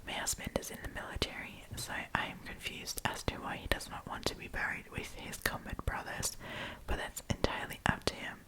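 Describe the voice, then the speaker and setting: A woman's voice: brisk, low-quality recording. Whispering woman, ASMR storyteller. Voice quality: soft, breathy whisper throughout. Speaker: host of an ASMR storytime channel. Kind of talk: ASMR, whispered reading of online stories.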